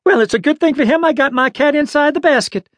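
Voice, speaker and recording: A man's voice: extremely high pitch, sing-song, normal speed, no echo, voice pushed up, full character voice. A man voicing a bossy, indignant little old lady in a storybook, with a tart, scolding manner. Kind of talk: storybook character voice.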